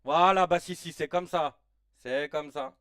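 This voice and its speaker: A man in his late thirties hosting a casual live stream, reacting excitedly to a football match in slangy chat and shouting at the players. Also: on the high side, unhurried, smooth, dry recording, studio quality, recorded from a distance. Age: 30s. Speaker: live-stream watch-along host.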